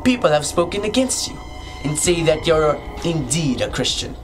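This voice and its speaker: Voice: medium pitch, very animated, moderate pace, lightly acted. A young performer playing a menacing, mocking prison captain in an amateur radio drama, gloating and taunting. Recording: music under the voice.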